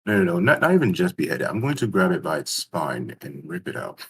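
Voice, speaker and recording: A man with a low-pitched, rough-grained voice plays a tabletop game. He improvises his action haltingly, circling back over phrases as he builds the scene. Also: very animated, fairly bright tone.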